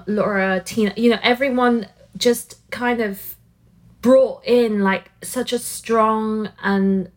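A woman interviewing a guest in a casual, earnest way, using "like" often as she talks. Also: medium pitch. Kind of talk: conversational interview.

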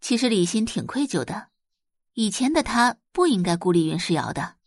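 A woman's voice: medium pitch, very animated, normal speed, no room sound, clean studio sound. A woman narrating a scripted audiobook story as its storyteller.

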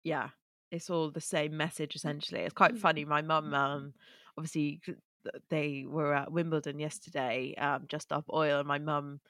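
Low-voiced chatty Welsh-born woman. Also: little pitch movement, moderate pace, fairly bright tone, no room sound, clean studio sound. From Wales. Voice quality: chatty, with many fillers and rephrasings. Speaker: podcast co-host. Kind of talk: casual chat.

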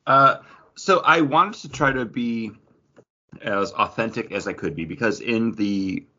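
Man, husky voice, casual podcast banter, rambling and jokey, dull lo-fi recording. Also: lowish voice, a little slow, sharp tone. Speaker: podcast co-host. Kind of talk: casual chat among friends.

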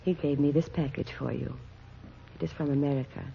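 A man playing a kind, concerned neighbor in a 1940s radio drama, gentle and hushed. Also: fairly high pitch, steady tone, fairly quick, gravelly, husky, heavily acted. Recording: noisy, dull 1940s radio recording.

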